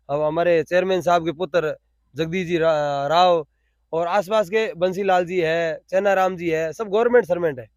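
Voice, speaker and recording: High-pitched Rajasthani man, dry sound. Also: dry recording, dull audio. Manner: repeats phrases for emphasis. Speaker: interviewee, cow shelter volunteer. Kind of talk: spontaneous explanatory interview answers.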